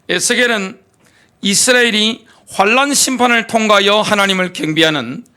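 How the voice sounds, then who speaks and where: Animated high-voiced preaching man. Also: vocal fry. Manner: animated preaching with rhetorical questions, reads scripture aloud. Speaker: pastor. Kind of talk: sermon.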